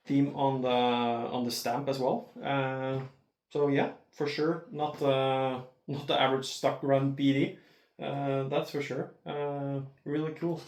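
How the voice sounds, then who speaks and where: A Norwegian-accented man speaks at a slow, halting pace in a medium-pitched voice. He gives casual unboxing commentary, and the room adds noticeable echo. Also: a little mellow. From Norway. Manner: hesitant, halting. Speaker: amateur video creator.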